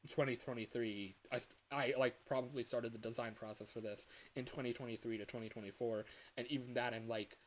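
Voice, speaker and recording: Male streamer, early 20s, mid-pitched, rambling and self-deprecating, faint hiss. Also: steady tone, brisk, hardly any pauses, poor audio. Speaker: livestreamer and indie game developer. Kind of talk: casual livestream chat. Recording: hissy home livestream audio.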